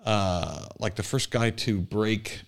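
A man's voice: fairly low pitch, slow pace, vocal fry. A man co-hosting a podcast, telling things casually and tentatively, often correcting himself. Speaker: podcast co-host. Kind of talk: casual conversational storytelling.

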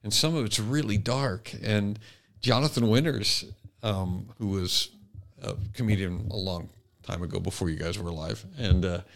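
A middle-aged or older man chatting casually as a podcast co-host, halting and restarting his phrases as he goes. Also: fairly low pitch, creaky. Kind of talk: casual conversational podcast talk.

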